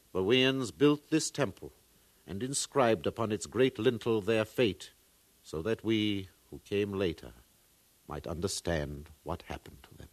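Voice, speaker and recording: A man's voice: on the low side, no echo. A man playing a pompous, solemn far-future archaeologist in a 1950s radio drama, lecturing like an orator. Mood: solemnly certain.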